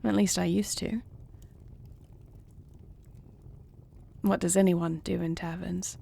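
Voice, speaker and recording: A woman playing a gentle, poised dragon queen in an intimate audio roleplay, speaking to the listener with formal, courtly phrasing and soft affection. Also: lowish voice, steady tone, moderate pace, gravelly, husky, full character voice. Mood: wry, playful. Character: gentle, poised dragon queen, newlywed, tender and dryly witty.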